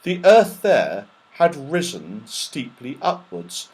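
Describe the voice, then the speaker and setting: Male storybook narrator, fairly high voice, highly animated, expressive and dramatic delivery for children. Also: slow pace, slightly rough, rough recording. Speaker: audiobook narrator.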